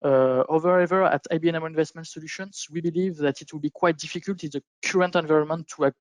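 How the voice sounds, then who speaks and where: A man speaking as an investment panelist, giving formal, measured, prepared-sounding explanations on a conference call. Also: on the high side, fairly even intonation, dry recording. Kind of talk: prepared roundtable commentary on markets.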